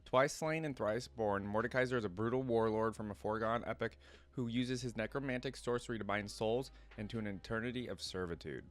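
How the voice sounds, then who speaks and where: Low-voiced man, casual reader with music behind. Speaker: reaction video host. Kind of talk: reading lore aloud with casual asides.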